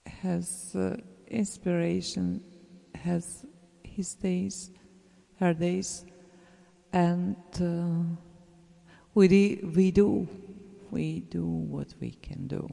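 A speaker with a German accent, a native German speaker, giving an earnest, encouraging message to fellow artists, halting and searching for words with many fillers, and trailing into soft whispers. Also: medium pitch, fairly even intonation, gravelly. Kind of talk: casual spoken message to listeners. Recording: roomy with long reverb.

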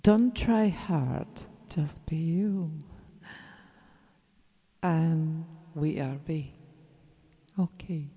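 Very slow, gravelly German-accented speaker. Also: mid-range voice. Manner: halting, searching for words, frequent fillers; earnest and encouraging, drops to soft whispers at phrase ends. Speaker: voice artist sharing a message to fellow artists. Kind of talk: casual spoken message to listeners. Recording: roomy with long reverb.

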